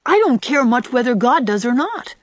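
A woman voicing a remorseful, excitable, impish little boy in a storybook, blurting out childish, emphatic speech. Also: mid-range voice, moderate pace, no room sound, pitched above the performer's own voice. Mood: relieved, eager. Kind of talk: audiobook character voice.

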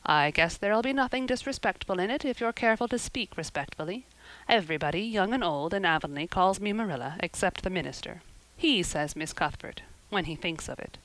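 A woman's voice: fairly low pitch, animated, fairly bright tone, no room sound, low-quality recording. Stern, no-nonsense older guardian played by a woman. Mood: grim, firm. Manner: curt, brisk, disapproving. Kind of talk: audiobook character voice.

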